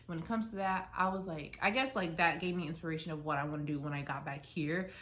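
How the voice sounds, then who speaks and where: A woman's voice: low-pitched, fairly even intonation, quick pace, fairly bright tone. A young American woman vlogging from her apartment while packing to move, rambling casually with lots of "like" fillers and restarts. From United States. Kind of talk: casual rambling chat to camera.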